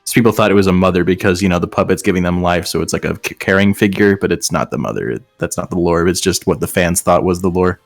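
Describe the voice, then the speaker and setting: A man's voice: deep voice, quick pace, creaky, few pauses, dry recording, studio quality. A man chatting casually and comedically as a show host, with sarcastic, sweary remarks. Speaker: show host reading and mocking fan fiction. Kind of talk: casual comedic group chat. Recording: music behind the voice. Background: background music.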